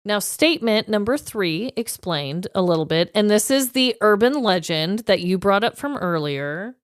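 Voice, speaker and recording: A woman co-hosting a podcast, telling stories from her notes in an informal, animated, emphatic way. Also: mid-range voice, moderate pace, clean tone, no room sound, studio quality. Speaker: podcast co-host. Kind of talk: chatty storytelling, reading quotes from notes.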